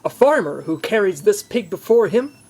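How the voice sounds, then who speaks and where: A man's voice: high-pitched, big pitch swings, moderate pace, darkish tone, slightly rough, exaggerated voice. A man playing an earnest special agent in an audio comedy, eager and defensive as he strains to sound fine. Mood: earnest briefing. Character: eager, strained male special agent hiding his soreness.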